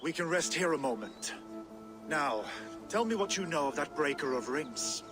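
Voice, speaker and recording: Man acting a vengeful, high-pitched Viking warlord. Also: lively intonation, moderate pace, lightly acted. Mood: brooding. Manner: archaic, grandiose, oath-laden speech. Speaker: actor in a video game. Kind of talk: dramatic game cutscene acting. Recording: music behind the voice.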